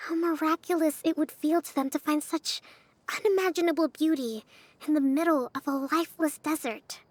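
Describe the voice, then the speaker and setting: Woman as very high-voiced, earnest young leader. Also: moderate pace, a little hiss or hum, close to an everyday voice. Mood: earnest encouragement. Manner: encouraging, composed, modest. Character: gentle, earnest young female general and priestess. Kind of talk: video game voice acting.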